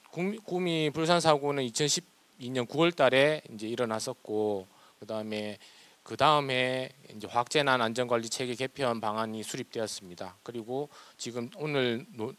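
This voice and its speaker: A man with a South Korean regional accent, presenting formally as a government official in a nervous, hesitant way. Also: medium pitch. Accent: South Korean regional dialect.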